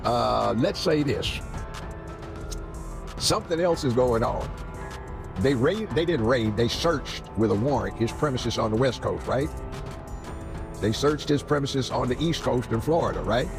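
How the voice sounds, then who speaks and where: Gravelly man giving pointed legal commentary. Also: medium pitch, expressive, unhurried, pauses often, over music. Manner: asks rhetorical questions and answers them. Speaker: legal commentator with criminal defense background. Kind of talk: opinionated conversational commentary.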